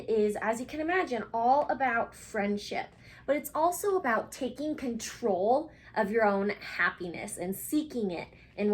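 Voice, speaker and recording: Woman, high and very lively voice, expressive children's story reader who leaves dramatic pauses. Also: poor audio. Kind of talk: expressive picture-book read-aloud for children.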